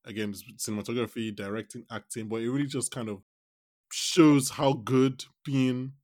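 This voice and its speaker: A man hosting a casual film discussion podcast, rambling and animated, acting out quotes as he talks. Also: medium pitch, normal speed, no echo, studio quality. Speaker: podcast host.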